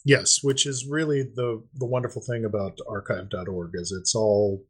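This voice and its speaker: A man's voice: fairly low pitch, fairly even intonation, normal speed, slightly rough. A man co-hosting a podcast, speaking in a casual, conversational style. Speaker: podcast co-host. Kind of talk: casual chat.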